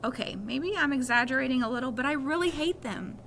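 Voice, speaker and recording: A woman reads a children's novel aloud with a bright, fairly high voice. She narrates in the first person, storytelling style. There is faint noise behind her. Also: lively intonation, a little fast.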